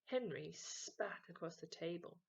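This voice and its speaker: A woman reading a children's story expressively, with dramatic emphasis and hushed moments. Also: fairly low pitch, lively intonation, measured pace, vocal fry, breathy edge, dull audio. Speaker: story reader.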